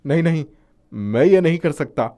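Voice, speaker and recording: A man voicing a poor, kind-hearted husband in a cartoon moral story, speaking earnestly and gently. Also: on the high side, lively intonation, moderate pace, close to an everyday voice. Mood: troubled. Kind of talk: animated moral story acting.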